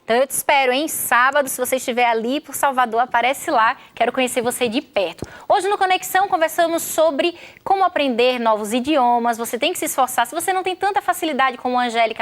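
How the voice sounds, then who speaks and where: High-voiced Brazilian woman hosting TV. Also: a bit bright. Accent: Brazilian Portuguese. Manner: upbeat, chatty presenter. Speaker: TV show host. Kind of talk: live youth TV show hosting, reading viewer messages.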